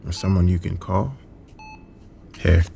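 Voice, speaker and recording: A man playing a kind, concerned hospital worker in an audio drama, speaking gently and hesitantly with a creaky voice. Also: deep voice, unhurried, a little hiss or hum. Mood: gentle, earnest. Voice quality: gravelly, creaky. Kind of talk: naturalistic audio drama acting.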